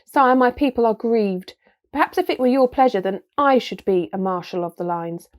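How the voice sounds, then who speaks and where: A woman voicing a tiny, chivalrous male mouse warrior, shrill, courtly and proud, in a storybook reading. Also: normal speed, natural voice. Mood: proud pleading. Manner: courtly, formal, touchy about honour.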